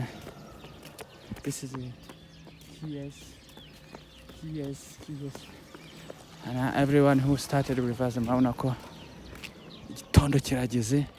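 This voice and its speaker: A Rwandan man, a native Kinyarwanda speaker, talking to viewers as a content creator in a casual vlog, halting and pleading with many restarts. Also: mid-range voice, background noise. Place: outdoors by a road with passing buses.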